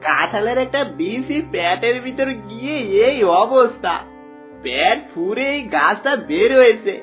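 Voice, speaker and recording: A remorseful cartoon villager, acted by a man, once greedy and now begging for mercy. The voice is high for a man and plaintive, with music playing underneath. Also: animated, a little slow, fairly bright tone, full character voice. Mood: rueful. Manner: pleading, plaintive. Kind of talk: cartoon moral tale acting.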